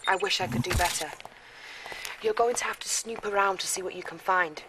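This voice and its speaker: An actress playing a brisk female intelligence officer briefing a commander on a mission. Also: lowish voice, background noise, natural voice. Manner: crisp briefing delivery.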